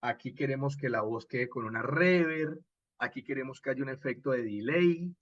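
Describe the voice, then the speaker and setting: Husky man teaching, dull audio. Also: medium pitch, normal speed, no room sound. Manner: didactic, expressive, punctuates points with short check questions. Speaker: radio drama instructor. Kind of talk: informal online lecture on scriptwriting.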